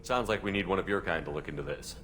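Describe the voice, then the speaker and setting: Game lead: deep-voiced commander, quick and matter-of-fact, steady authority. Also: male voice. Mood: steady, concerned. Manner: matter-of-fact, brief statements. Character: steady, authoritative human starship commander, male. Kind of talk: video game voice acting. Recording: long reverb, faint background noise.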